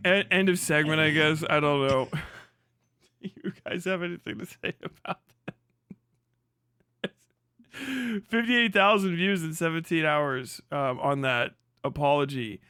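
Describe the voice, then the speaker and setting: A man's voice: a little high, sharp tone, clear, resonant tone, dry recording, studio quality. A man hosting a casual livestream, commenting in a profane, joking way and often stumbling over repeated words. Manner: profane, joking, halting and repetitive in delivery.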